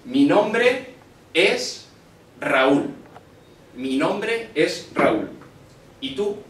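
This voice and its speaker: A man in his thirties from Spain, teaching a language class with slow, clear instructions in a small classroom. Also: slight background noise. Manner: slow and deliberate, lively questioning pitch. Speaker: Spanish teacher. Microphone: far camera. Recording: echoey classroom from far off.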